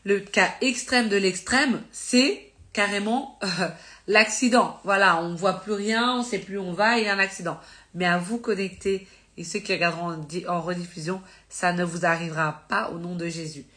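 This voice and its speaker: A woman's voice: medium pitch, normal speed, fairly bright tone. A woman with a slight cold, teaching about faith on a live stream in an informal, conversational way, full of fillers and self-corrections. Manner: earnest and encouraging.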